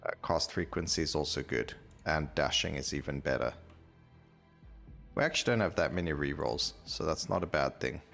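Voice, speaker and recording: A man with a very deep voice narrates his gameplay in a casual, conversational way. There is a gravelly fry to his speech as he thinks aloud and repeats himself. Game music plays behind him. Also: moderate pace, a bit bright. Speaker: gaming video host.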